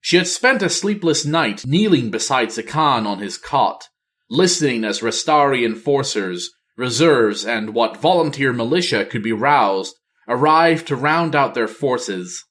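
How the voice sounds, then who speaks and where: A man's voice: medium pitch, animated, measured pace, fairly bright tone. A man reading a fantasy novel aloud as storyteller, in dramatic audiobook-style narration.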